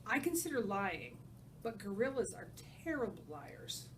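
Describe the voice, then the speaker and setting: A woman's voice: medium pitch, very animated, moderate pace. A woman reading a story aloud as a teacher, narrating and putting questions to her students. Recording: distant mic with faint background noise.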